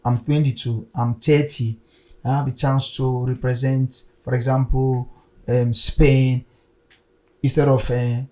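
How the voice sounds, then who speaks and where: A man's voice: mid-range voice, level tone, unhurried, warm tone. A man hosting an unscripted football discussion, explaining his points in a conversational way. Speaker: sports talk show host.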